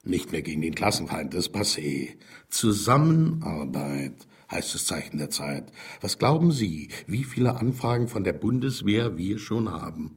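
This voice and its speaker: An actor playing a self-assured East German lieutenant colonel in a radio drama, jovial, authoritative and condescending. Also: male voice, deep voice, very animated, moderate pace. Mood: pompously confident.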